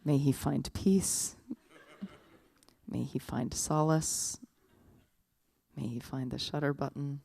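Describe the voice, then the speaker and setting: Hushed, solemn living statue acted by a woman. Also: sits low, vocal fry, no room sound, full character voice. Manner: hushed, slow, solemn mock-liturgical intoning. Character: serene, silent living statue woman, pious and secretly needy.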